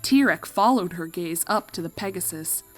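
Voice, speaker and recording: A woman's voice: on the low side, animated, normal speed, faint music behind, poor audio. A woman reading a fan fiction story as a scripted narration.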